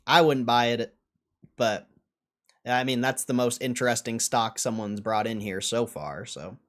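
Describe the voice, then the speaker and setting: A man's voice: medium pitch, a little slow. A man hosting a livestream in a casual, blunt and dismissive way, swearing now and then as he answers chat. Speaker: livestream host.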